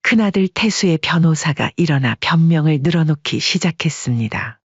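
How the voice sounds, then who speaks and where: A narrator tells a story in even, measured tones. The voice is husky and the sound is dry and close. The recording is slightly muffled, like lo-fi audio. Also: medium pitch, no echo.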